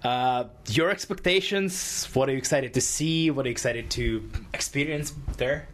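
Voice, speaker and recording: A man's voice: mid-range voice, normal speed, fairly bright tone. Man hosting an interview. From Russia. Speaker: podcast host, interviewer. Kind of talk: casual interview.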